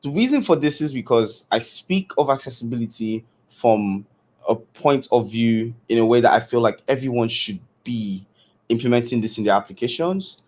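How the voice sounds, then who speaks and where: A man's voice: medium pitch, expressive, moderate pace, darkish tone. A man from Nigeria giving a casual keynote on a video call, loose and full of fillers. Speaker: keynote speaker. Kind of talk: online conference talk.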